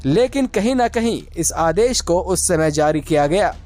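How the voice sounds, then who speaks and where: High-pitched man reading news. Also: expressive, moderate pace, darkish tone. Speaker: news reporter. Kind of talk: scripted news narration.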